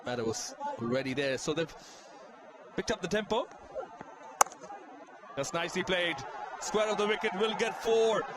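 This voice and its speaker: Male cricket commentator over loud stadium noise. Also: high voice, moderate pace, long pauses. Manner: excited calls that rise on big shots.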